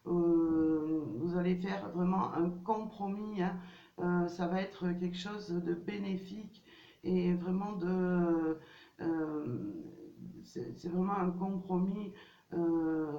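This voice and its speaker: A woman with a cold reads tarot cards for her viewers in a slow, halting way, stopping often on fillers and restarts. Her voice has a gravelly fry. She sounds distant from the mic in an echoey room. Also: lowish voice, steady tone, warm tone. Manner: hesitant, many fillers and restarts. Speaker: tarot card reader. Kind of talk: casual card reading to viewers. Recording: distant, dull, roomy home recording.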